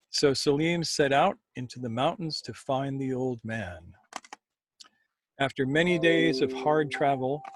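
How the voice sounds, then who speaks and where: A man who co-hosts and tells stories, speaking in an expressive, measured way with dramatic pauses. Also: medium pitch, slight background noise. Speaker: storyteller and co-host. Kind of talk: expressive story reading.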